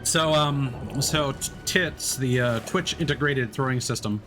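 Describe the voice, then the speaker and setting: A man's voice: mid-range voice, measured pace. Guy streaming a game and explaining stuff, lots of ums and restarts, music going in the background. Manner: rambling, many fillers and restarts. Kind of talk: casual gameplay commentary.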